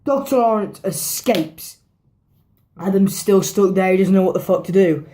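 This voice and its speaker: Hoarse woman rambling about films. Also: medium pitch, moderate pace, dark tone. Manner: rambling, swears freely, repeats herself. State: hoarse, losing her voice. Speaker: movie reviewer. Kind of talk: casual movie review vlog.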